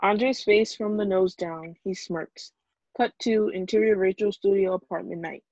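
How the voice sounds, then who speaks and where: A woman's voice: medium pitch, measured pace, dark tone, no echo. A woman reading stage directions aloud as scripted narration at a film table read. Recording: dull lo-fi video call audio.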